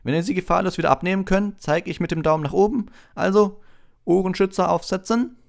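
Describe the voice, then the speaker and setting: A man voicing a brisk, practical female herbology professor instructing her class in an audiobook. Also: high-pitched, vocal fry, pitched above the performer's own voice. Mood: brisk, instructive. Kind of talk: audiobook character voice.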